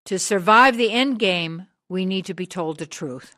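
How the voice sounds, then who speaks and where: A woman's voice: medium pitch, unhurried, sharp tone. A woman playing a brilliant, hard, driven sixty-year-old activist in a radio drama, speaking in earnest declarations. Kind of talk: scripted radio drama acting.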